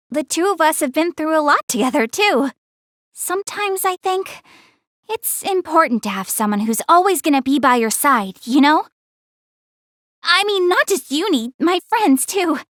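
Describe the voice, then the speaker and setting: A woman's voice: sits high, fairly bright tone, no room sound, clean studio sound, heavily acted. An actress voicing a proud but secretly shy young goddess girl in an English video game dub, easily flustered and prone to defensive stammering.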